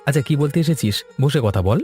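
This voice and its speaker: A man's voice: medium pitch, fast, warm tone, soft background music, close to an everyday voice. A man playing a busy, kindly village farmer in a cartoon, explaining things in an avuncular way. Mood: genial. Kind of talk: cartoon dialogue acting.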